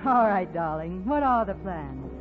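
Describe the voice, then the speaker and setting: Devoted sweetheart, tinny old radio. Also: female voice, lowish voice, big pitch swings, a little slow, over music. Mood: tender. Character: devoted, pleading young woman in love. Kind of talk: 1940s radio drama acting.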